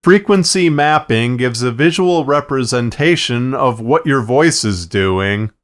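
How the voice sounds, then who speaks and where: A man narrating a tutorial in a measured, plain-spoken, instructional way, explaining his production process step by step. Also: mid-range voice, animated. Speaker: fiction podcast producer and narrator. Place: acoustically treated recording space.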